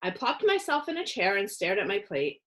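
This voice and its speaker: A woman narrating a children's story aloud in an expressive read-aloud style. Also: medium pitch, moderate pace.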